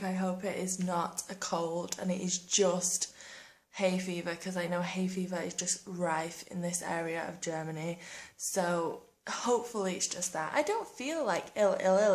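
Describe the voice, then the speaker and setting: A woman's voice: on the low side, unhurried. Congested, nasal young woman. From England. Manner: chatty and excitable. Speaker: vlogger. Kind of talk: casual daily vlog. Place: home, indoors.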